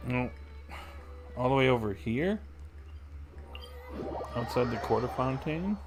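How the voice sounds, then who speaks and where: Grumbling male streamer, mid-pitched. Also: expressive, slow. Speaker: game streamer. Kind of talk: casual livestream commentary. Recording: game music behind the voice.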